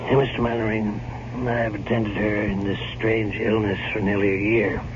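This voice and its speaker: An actor playing a stern, ominous family doctor in a gothic radio drama, with formal, emphatic, theatrical delivery. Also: male voice, on the low side, little pitch movement, normal speed, lightly acted. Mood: insistent, grim. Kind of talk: 1970s radio drama acting. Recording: noisy, dull old radio broadcast recording.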